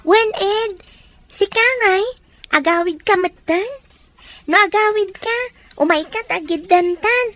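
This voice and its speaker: A woman's voice: extremely high pitch, slow, long pauses, a bit bright, no room sound, exaggerated voice. A woman voicing a friendly, eager young schoolgirl in an Ilocano audio drama.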